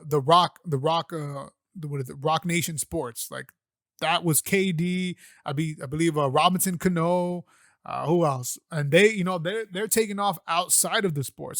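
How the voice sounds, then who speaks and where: A man's voice: on the high side, no room sound, clean studio sound. A young man hosting his own podcast at home, talking loosely and profanely with lots of fillers into a condenser mic. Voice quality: loud voice. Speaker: podcast host. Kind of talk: casual solo podcast talk. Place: home room setup. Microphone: condenser microphone.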